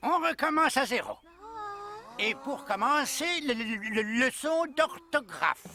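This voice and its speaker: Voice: mid-range voice, expressive, unhurried, sharp tone, soft background music. An actor playing a fussy, professorial male schoolteacher in a French children's cartoon, sometimes stammering. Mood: flustered. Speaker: teacher. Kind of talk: French cartoon dub acting.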